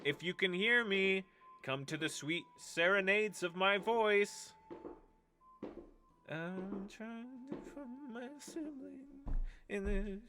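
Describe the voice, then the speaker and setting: A man's voice: high-pitched, takes it slowly, music in the background. An actor playing a wry, exasperated young man in an audio drama, with a theatrical, sarcastic delivery. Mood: nervous, singsong.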